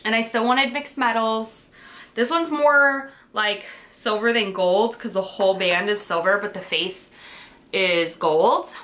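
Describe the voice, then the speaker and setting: Bright, bubbly young woman chatting at home. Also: medium pitch, fairly even intonation, measured pace. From western New York. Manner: bubbly and rambling, lots of "like" and "um". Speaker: vlogger. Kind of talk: casual chatty vlog. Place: kitchen and bedroom at home.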